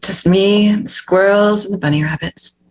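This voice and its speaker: A woman's voice: medium pitch, moderate pace, natural voice, poor audio. A woman playing a determined, world-weary prison runaway in a screenplay table read, terse and guarded. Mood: wistful.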